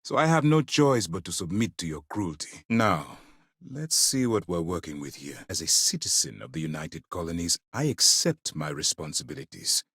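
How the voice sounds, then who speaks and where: A stern commander of a space navy in a video game. His low voice is measured and formal, yet it moves widely in pitch as he gives orders and warnings. The recording is close and dry. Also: very animated, a bit bright, breathy edge, dry recording, exaggerated voice. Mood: bitter, stern. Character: stern, disciplined male naval commander hunting space pirates. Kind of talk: dramatic video game dialogue.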